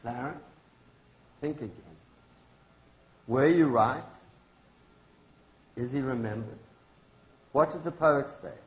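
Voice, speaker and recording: A man's voice: medium pitch, takes it slowly, pauses often, close to an everyday voice. A man reading the part of a grieving, defiant activist's widow in a staged play, solemn and accusing in manner. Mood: challenging. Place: indoor launch event venue. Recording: echoey room.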